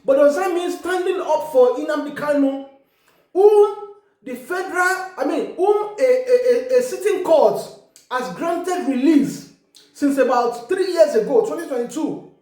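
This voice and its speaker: A woman's voice: high voice, expressive, measured pace, dark tone. A Nigerian woman from Ekiti with a Yoruba accent, speaking English as a second language and giving an emphatic opinion monologue with frequent repeated words and restarts. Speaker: online commentator. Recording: very echoey room.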